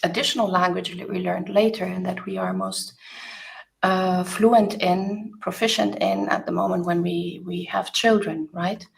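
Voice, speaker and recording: Woman host with a gravelly edge. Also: on the low side, very animated, moderate pace, low-quality recording. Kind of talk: interview hosting, reading a quote.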